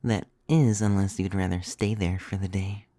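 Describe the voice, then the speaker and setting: A man voicing a gentle, caring young vampire in an ASMR roleplay, with soft, breathy, soothing and intimate whispering. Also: sits low, fairly even intonation, no room sound, lightly acted. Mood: playful, courteous. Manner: soothing, slow, tender.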